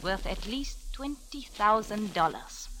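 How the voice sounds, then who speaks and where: An actress playing a scheming, self-assured young femme fatale in a poised, theatrical 1940s radio drama style. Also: female voice, mid-range voice, animated, slow pace, pushed and bright. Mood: smug, guarded. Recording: noisy, hissy vintage radio recording.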